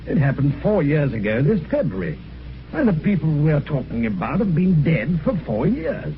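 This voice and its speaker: An actor playing a jovial, teasing British police inspector in a radio mystery, breezy and chatty. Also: male voice, a little high, big pitch swings, moderate pace. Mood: incredulous. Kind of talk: 1950s radio mystery acting. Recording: dull, noisy old radio recording.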